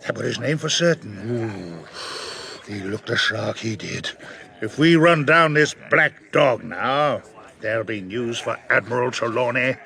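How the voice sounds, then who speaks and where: Audio drama role: crafty, jovial old sea cook, gravelly and bright, salty dialect. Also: male voice, mid-range voice, animated, a little slow, exaggerated voice. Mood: musing, sly. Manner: hearty, salty seafarer's dialect. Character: jovial, crafty one-legged old sailor and innkeeper. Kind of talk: dramatised audio adventure acting.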